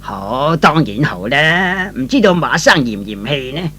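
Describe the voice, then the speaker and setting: A man's voice: fairly high pitch, very animated, measured pace, sharp tone, exaggerated voice. Kindly old merchant father acted by a man. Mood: hospitable, reassuring. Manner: courteous, avuncular, theatrical. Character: kindly, grateful older father, well-off merchant. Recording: faintly noisy old radio recording.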